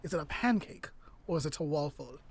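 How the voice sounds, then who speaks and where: A woman's voice: low voice, lively intonation, gravelly, noisy background. A woman co-hosting a podcast over a remote call, chatting casually and drawing out her guesses in a word game. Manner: drawn-out guessing questions, playful. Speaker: podcast co-host. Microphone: remote call mic.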